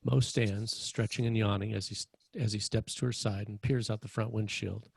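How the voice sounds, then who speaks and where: A man's voice: fairly low pitch, little pitch movement, moderate pace, vocal fry, slightly rough, dry recording. A man reading screenplay stage directions as a narrator at a table read.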